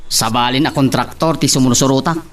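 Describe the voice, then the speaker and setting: A man's voice: mid-range voice, brisk, fairly bright tone, distant mic, close to an everyday voice. A man playing a farmer's concerned grown son in an Ilocano audio drama.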